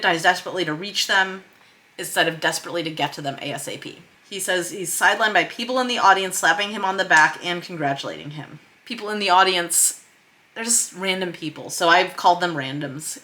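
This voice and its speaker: A woman's voice: on the low side, sharp tone. A woman hosting a writing tutorial, explaining conversationally and reading excerpts aloud, leaning on key words for emphasis. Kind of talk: explanatory screen-share lesson, reading script excerpts aloud.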